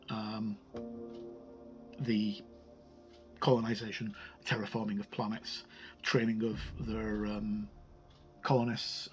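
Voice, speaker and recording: A man giving a casual, unscripted review of a tabletop game book, haltingly thinking aloud and correcting himself as he goes. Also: mid-range voice, low-quality recording.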